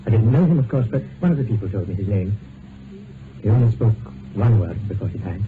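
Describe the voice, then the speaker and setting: An actor playing a tactful, awkward doctor in a vintage radio drama, speaking formally and haltingly. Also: male voice, fairly low pitch, expressive, natural voice. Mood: hesitant, concerned. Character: tactful, awkward male doctor, polite and helpful. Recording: noisy tinny old radio broadcast recording.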